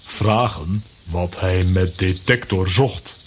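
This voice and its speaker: An actor playing a terse, simple helper who speaks in broken telegram phrases in a children's audio drama. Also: male voice, low voice, takes it slowly, a little hiss or hum. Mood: gruff, plodding. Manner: clipped phrases without articles.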